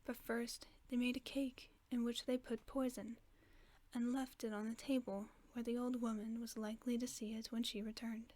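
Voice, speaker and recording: A woman voicing a gentle, caring werewolf friend, reading a bedtime story in a soft, often whispered voice for a sleep-aid roleplay. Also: on the high side, level tone, lightly acted. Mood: soothing. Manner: slow soothing bedtime reading.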